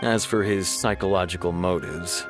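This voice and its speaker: Man playing a low-voiced, formal game official. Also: steady tone, close to an everyday voice. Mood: grave, formal. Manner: measured, formal, matter-of-fact. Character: stern, formal adult male commission official giving a briefing. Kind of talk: scripted video game dialogue. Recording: background music under the voice.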